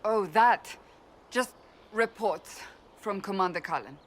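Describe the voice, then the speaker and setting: A woman's voice: mid-range voice, lively intonation, takes it slowly, slight background noise. An actress voicing a stern woman warrior in a video game, flustered and defensive. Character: stern woman warrior, secretly a romance reader.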